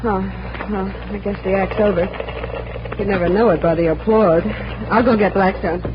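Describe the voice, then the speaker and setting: Loyal magician's assistant, female actor: wisecracking, slangy, mid-pitched, noisy 1940s radio recording. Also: fairly even intonation, moderate pace, muffled recording, lightly acted. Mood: wry, sassy. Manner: sassy, slangy. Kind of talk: 1940s radio drama acting.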